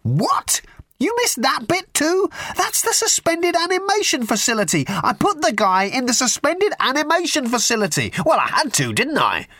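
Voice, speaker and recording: A man voicing a manic, excitable man who rants and shouts frantically. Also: medium pitch, fairly quick, no echo, voice pushed up. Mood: raging.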